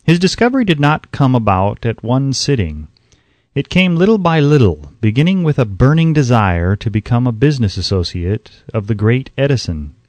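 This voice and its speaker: A man reading an audiobook as scripted narration, in a measured, emphatic way, close to the microphone. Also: mid-range voice, very animated, a little mellow, dry recording.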